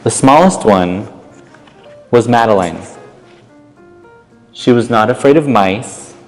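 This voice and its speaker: Male storytime reader: low pitch, expressive picture-book narration, reverberant space with music. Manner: expressive, pausing, commenting on the pictures. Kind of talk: read-aloud children's picture book narration with casual asides.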